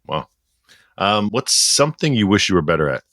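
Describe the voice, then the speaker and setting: A man in his fifties hosting a podcast and running a brisk, friendly lightning round over a video call. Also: sits low, very animated, fairly bright tone, dry recording, studio quality. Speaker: podcast host. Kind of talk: hosting an interview. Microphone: headset on video call.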